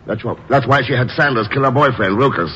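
An actor playing a calm, dry, unflappable secret agent hero in a 1950s radio drama. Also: male voice, medium pitch, fast talker, slight background noise, lightly acted. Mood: calm explaining. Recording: dull, echoing vintage radio recording.

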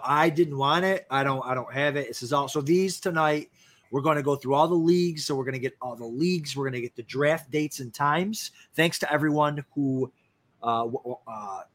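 A man's voice: medium pitch, a bit bright. A man co-hosting a fantasy sports show in casual, informal chat, often repeating words as he goes. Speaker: fantasy football show co-host.

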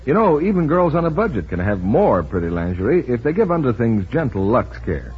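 A man announces a scripted 1940s radio advertisement with lively intonation. His voice is very husky, at a middle pitch, and the recording is dull. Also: brisk. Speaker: announcer reading sponsor's advertisement.

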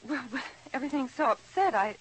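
A woman playing a flustered, nervous mother in an old radio drama, talking in halting starts. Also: high-pitched, animated, a little hiss or hum. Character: flustered middle-aged mother, nervous, fussy. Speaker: mother of a bride. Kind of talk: 1950s radio drama acting.

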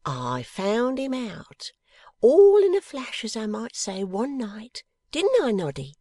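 A woman voicing a warm-hearted, delighted old working-class wife in a classic novel reading, with folksy, exclamatory speech. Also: on the high side, wildly swooping pitch, slow pace, dry recording, studio quality, voice pushed up, full character voice. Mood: earnest, eager storytelling.

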